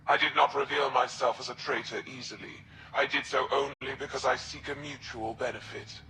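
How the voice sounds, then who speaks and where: An actor playing a calculating alien commander in a video game, coldly imperious and formal in a measured delivery. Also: male voice, medium pitch, steady tone, noisy background, lightly acted. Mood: imperious, persuading. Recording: game music behind the voice.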